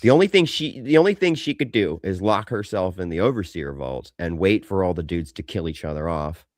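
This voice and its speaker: Man, very low voice, dry close mic, emphatic, swears a lot, casual podcast banter. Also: expressive, a little fast, dry recording. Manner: emphatic, profane, enthusiastic. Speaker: podcast co-host.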